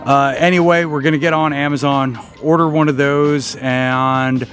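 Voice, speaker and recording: A man's voice: on the high side, steady tone, normal speed, fairly bright tone, soft background music. A man hosting a casual, unscripted how-to vlog, explaining somewhat hesitantly as he thinks aloud. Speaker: vlog host.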